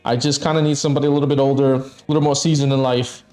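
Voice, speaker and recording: A man in his fifties talks loosely on a live stream, blunt and honest to a fault and sprinkling in curses. His voice sits at an ordinary middle pitch. Faint music plays quietly behind him. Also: little pitch movement, racing. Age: 50s. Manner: blunt, frequent swearing and laughing. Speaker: stream co-host. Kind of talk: casual live-stream chat.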